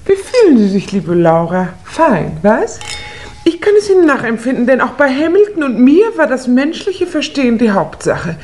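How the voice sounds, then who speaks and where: Affected English society lady, high, very animated, gushing, chatty, vintage radio drama. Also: normal speed. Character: gushing, tactless society lady, engaged to lord. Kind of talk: 1950s German radio play acting.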